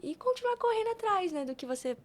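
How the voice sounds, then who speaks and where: A woman's voice: extremely high pitch, very animated, quick pace. A young woman with a Brazilian accent chatting informally and telling personal stories on a podcast. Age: young adult. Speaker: podcast guest. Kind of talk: casual podcast conversation.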